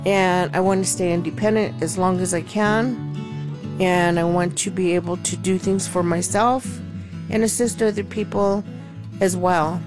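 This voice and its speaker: A woman's voice: on the low side, fairly even intonation, unhurried. An older woman speaking upbeat and emphatic in an interview. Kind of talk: documentary interview. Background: music.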